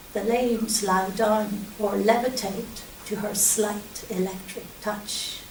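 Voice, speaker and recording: A woman reading her poetry aloud at a live event, in measured, deliberate phrases with pauses. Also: on the high side, a little mellow. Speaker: poet reading own work. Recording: roomy, distant mic with faint hiss.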